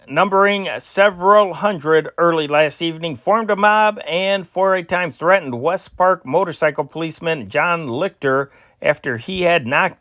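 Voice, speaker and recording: A man's voice: fairly high pitch, a bit bright, dry recording, clean studio sound. A man reading old newspaper stories aloud as an amateur news reader, stumbling and correcting himself now and then.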